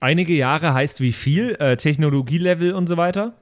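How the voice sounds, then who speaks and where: A man's voice: a little high, moderate pace. A man chatting casually as a playful, inquisitive co-host of a role-play podcast. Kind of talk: casual chat.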